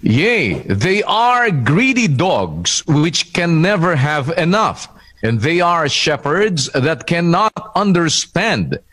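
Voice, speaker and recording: A man reading Bible verses aloud in a measured way during a sermon. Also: fairly high pitch, big pitch swings, a bit bright. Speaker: Bible reader.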